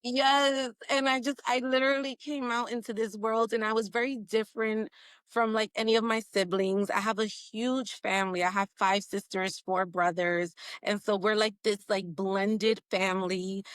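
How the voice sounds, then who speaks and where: A woman's voice: mid-range voice, little pitch movement, normal speed, clear, resonant tone, no room sound. Chatty woman guest, dry close sound. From Puerto Rico. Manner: chatty storytelling, lots of "like" fillers. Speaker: podcast guest. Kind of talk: casual interview chat.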